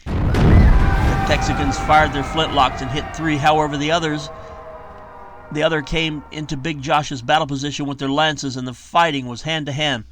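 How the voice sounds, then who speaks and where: A man's voice: a little high, level tone, moderate pace, background noise. A man reading his historical western novel aloud as a theatrical, emphatic audiobook narrator. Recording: guitar music behind the voice. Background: plucked guitar music.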